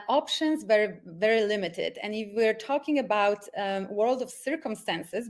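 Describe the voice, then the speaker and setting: Higher-voiced Polish-accented woman, mindset coach. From Poland. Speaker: mindset coach hosting a group call. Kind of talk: motivational webinar presentation with personal storytelling. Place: at home.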